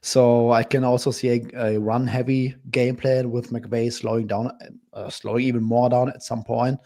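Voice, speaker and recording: A man analyzing football bets in a casual discussion, speaking in a measured way with hesitant fillers. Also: medium pitch, little pitch movement, gravelly, mellow. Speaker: betting analyst. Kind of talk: casual sports betting discussion.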